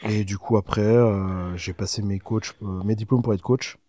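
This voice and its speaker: A man in his thirties, a podcast guest telling his story in a relaxed way, full of fillers, close on a studio mic. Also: fairly low pitch, normal speed, no echo. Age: 30s. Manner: easygoing, many fillers. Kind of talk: casual interview answers. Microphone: studio podcast microphone.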